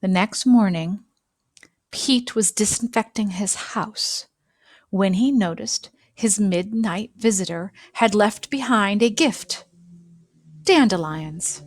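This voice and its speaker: A woman reads a children's story aloud in an expressive narrating style, phrasing deliberately and leaving dramatic pauses. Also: mid-range voice, dry recording, clean studio sound. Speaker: storybook reader.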